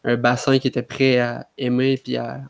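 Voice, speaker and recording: Even-toned young Quebec man. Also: medium pitch, moderate pace, creaky. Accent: Quebec French. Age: young adult. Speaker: podcast panelist. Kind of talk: casual chat.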